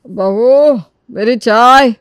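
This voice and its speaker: A woman's voice: on the high side, extremely slow, clean tone, no room sound. Lady doing an older family patriarch for a story, voice dropped a bit, matter-of-fact and demanding, very dry sound. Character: demanding older father-in-law, a household patriarch. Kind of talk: dramatised Hindi storytelling.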